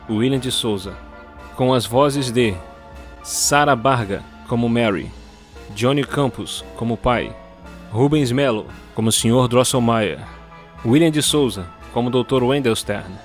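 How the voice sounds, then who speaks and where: A male storyteller delivers scripted narration in a measured, dramatic tone. His voice sits in a middle pitch, with faint music underneath. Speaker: narrator and producer.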